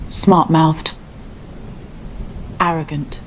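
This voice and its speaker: An actress playing a stern, worried queen mother in an audio drama, admonishing and pleading in a hushed manner. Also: low-pitched, steady tone, drawn-out words, slight background noise, poor audio. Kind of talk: dramatic scripted audio acting.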